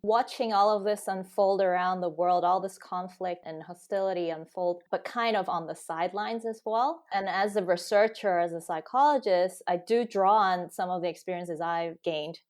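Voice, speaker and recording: Low-voiced, reflective woman researcher. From Hong Kong. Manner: thoughtful, reflective, with frequent pauses and fillers. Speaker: guest researcher. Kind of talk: conversational interview.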